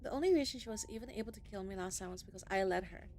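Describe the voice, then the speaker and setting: A woman's voice: mid-range voice, racing, a little mellow, slight background noise. A woman doing casual playthrough commentary of a game, blunt and sardonic, swearing now and then. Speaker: gaming streamer. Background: visual novel game audio.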